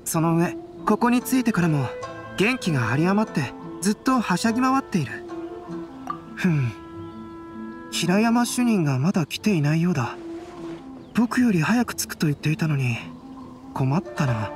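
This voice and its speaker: Composed young painter, music behind. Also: male voice, high voice, very animated, normal speed, long pauses. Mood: mildly troubled. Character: calm, polite young male painter, reserved older brother. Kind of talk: Japanese anime game voice acting.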